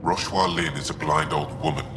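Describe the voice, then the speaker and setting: An actor playing a grave, fanatical, fearless alien warrior leader in a sci-fi video game. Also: male voice, extremely low pitch, fairly even intonation, normal speed. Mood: scornful, resolute. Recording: long reverb, clear background noise.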